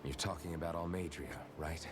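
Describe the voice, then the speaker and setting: A very low-voiced young hero from a fantasy game, acted by a man, earnest and measured. He sounds supportive and reassuring, with clearly audible game music behind him. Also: little pitch movement, natural voice. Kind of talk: video game voice acting.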